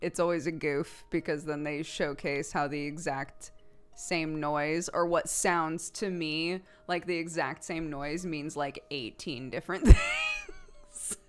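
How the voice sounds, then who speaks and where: A woman in her thirties chats casually as she plays a video game, her voice sitting a little low. She rambles from one thought to the next and breaks into laughter often. Soft game music plays faintly under her desk-mic voice. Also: sing-song, takes it slowly. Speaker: streamer. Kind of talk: casual chat while playing a video game.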